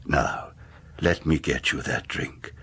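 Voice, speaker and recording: An actor playing a courtly, mysterious chairman around fifty in a radio horror drama, husky, formal and knowing. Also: rumbling low, fairly even intonation, slow, a bit bright, heavily acted. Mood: knowing, courteous.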